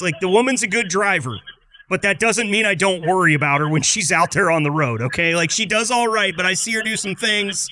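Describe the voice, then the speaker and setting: A man's voice: sits high, sharp tone, no echo. An American man hosting a casual podcast interview in a chatty, informal and affirming way. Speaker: podcast host.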